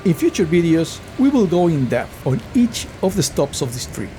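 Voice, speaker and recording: A man with an Argentine accent giving a measured, scripted voice-over for a travel vlog. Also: on the high side, big pitch swings, mellow, poor audio. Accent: Argentine Spanish. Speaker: travel vlogger. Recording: voice-over with guitar music behind. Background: guitar music.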